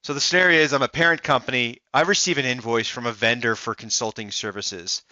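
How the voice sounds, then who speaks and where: A man narrating a software demo as a voice-over, explaining it step by step in the first person. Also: medium pitch, fairly even intonation, fast, no room sound, highs cut off. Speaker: software demonstrator.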